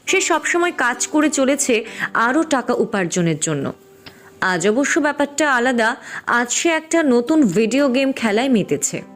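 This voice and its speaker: A woman's voice: high-pitched, expressive, unhurried, fairly bright tone. A woman reading scripted storybook narration for a cartoon.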